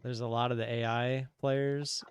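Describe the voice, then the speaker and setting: A man's voice: mid-range voice, level tone, a little slow, clean tone. A man hosting a live trading stream, chatting casually in a laid-back, rambling way and laughing easily. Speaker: stream host.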